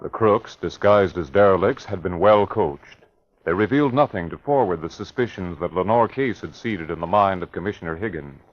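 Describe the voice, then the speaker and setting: A man with a very deep voice narrates an old-time radio adventure, measured and dramatic. The sound is dull and lo-fi, like a vintage broadcast. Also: lively intonation.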